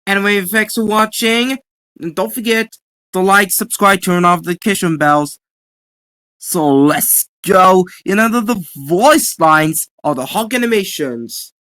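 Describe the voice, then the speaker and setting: A woman giving a casual, halting introduction and sign-off as an amateur voice actor and host of a voice reel. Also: medium pitch, a bit bright.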